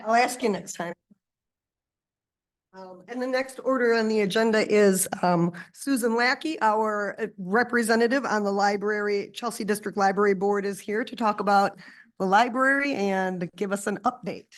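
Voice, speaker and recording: Woman running a township board meeting, brisk and friendly, just calling agenda items. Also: mid-range voice. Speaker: board chair. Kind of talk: chairing a public meeting.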